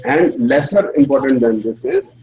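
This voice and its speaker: A man's voice: medium pitch, expressive, moderate pace, warm tone, a little hiss or hum, poor audio. A man with an Indian accent, a native Hindi speaker, teaches an astrology class in simple English and often checks in with "right?". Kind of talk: classroom lecture.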